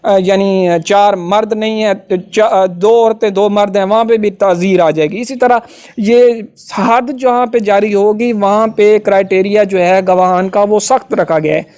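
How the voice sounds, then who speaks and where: Man, high-pitched voice, Pakistani accent, law lecturer, informal explanatory delivery. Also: fairly even intonation, unhurried, a little mellow, clear, resonant tone.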